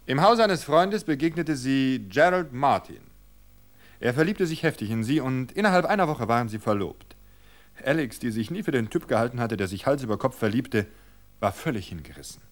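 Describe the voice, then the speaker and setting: A man reading a mystery story aloud for radio in a calm, measured way. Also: mid-range voice. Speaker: reader.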